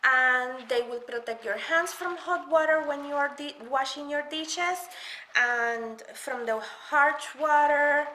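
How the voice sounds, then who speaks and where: High-voiced, unhurried woman reviewer. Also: pushed and bright. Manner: chatty, demonstrating while explaining. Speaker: product reviewer. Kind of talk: casual product review demo. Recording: echoey room, faint noise.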